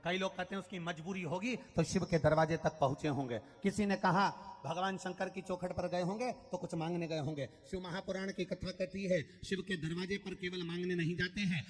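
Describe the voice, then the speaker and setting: A man's voice: sits high, big-room sound. A man preaching a devotional katha sermon, telling stories, repeating phrases and singing lines. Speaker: Shiva Purana storyteller preacher. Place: large outdoor religious gathering.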